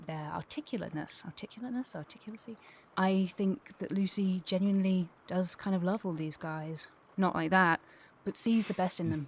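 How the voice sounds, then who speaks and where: A woman's voice: lowish voice, a little hiss or hum. A woman speaking as an interview guest, a voice actor talking thoughtfully and reflectively, feeling out her phrasing as she goes. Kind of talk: thoughtful panel discussion.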